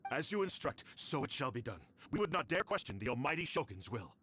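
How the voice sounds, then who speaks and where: An actor voicing a humble, fearful male retainer in a video game, deferential and formal, with a husky, breathy voice. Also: mid-range voice, big pitch swings, fast talker, sharp tone, no echo, full character voice. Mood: cowed, deferential. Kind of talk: scripted video game voice acting.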